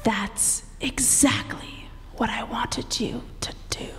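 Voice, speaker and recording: Creepy invisible she-spirit, real slow breathy taunts, radio horror, echoey hall. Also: on the high side, fairly even intonation. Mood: cold taunting. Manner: slow, drawn-out, breathy near-whispers. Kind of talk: old-time radio horror acting on stage. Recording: hollow hall recording with hiss.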